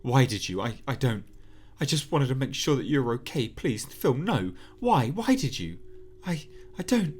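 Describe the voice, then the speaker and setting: A man's voice: medium pitch, unhurried, husky, voice pushed up. A man voicing a frightened young woman who stammers and begs. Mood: pleading. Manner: stammering, pleading.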